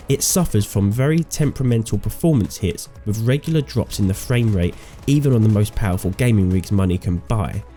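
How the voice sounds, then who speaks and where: A man's voice: medium pitch, warm tone. A man with a cold and a slightly nasal voice, reading a scripted, opinionated game review as host. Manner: opinionated, sardonic. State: sick, nasal voice. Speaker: gaming video host.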